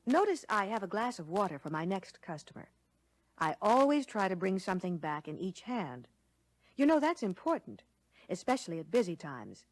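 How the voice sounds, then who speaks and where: A woman playing a courteous American lunch counter waitress, explaining service clearly in a scripted 1960 training film. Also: medium pitch, sing-song, normal speed. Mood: proud, helpful. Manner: courteous, instructive, clearly worded.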